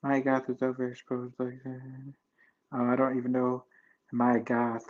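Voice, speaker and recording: A man's voice: medium pitch, monotone, unhurried. A young man in his twenties hosting a live chat, talking in a loose, rambling way full of fillers and restarts. His voice stays level, with little rise and fall. The audio sounds dull and lo-fi. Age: 20s. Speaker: livestream host chatting with viewers.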